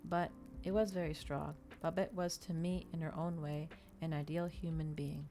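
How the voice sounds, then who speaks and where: A woman voicing a brooding, articulate male vampire from a novel in a formal, deliberate manner. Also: sits low, fairly even intonation, warm tone, faint music behind. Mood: pensive.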